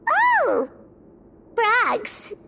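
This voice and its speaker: A woman voicing a tiny, childlike, excitable and curious fairy companion in a video game, squeaky and expressive. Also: extremely slow, creaky, heavy background noise, heavily acted. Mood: uneasy. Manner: excitable, expressive, speaks of self in third person. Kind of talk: scripted video game character dialogue. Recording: game music behind the voice.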